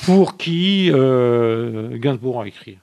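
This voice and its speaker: Gravelly, rambling male musician. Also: mid-range voice, expressive, slow, a little mellow, no room sound. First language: not French. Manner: rambling, reflective, many restarts. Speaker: musician guest. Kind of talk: casual interview answers.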